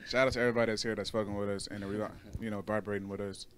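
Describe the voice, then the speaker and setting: A man's voice: lowish voice, level tone, very fast, slight background noise. A man chatting casually as a guest artist, slangy and often repeating his words. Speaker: musical artist, show guest. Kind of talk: casual chat.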